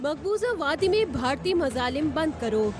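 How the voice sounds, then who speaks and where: A woman with a Pakistani Urdu accent narrating a scripted news package in crisp headline style. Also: high voice, expressive, normal speed. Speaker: news voice-over. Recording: music bed behind voice. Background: music.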